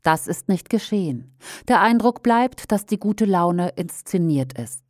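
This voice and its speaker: A woman reading aloud a critical opinion commentary in a pointed, measured way. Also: lowish voice, animated, darkish tone, no echo, studio quality.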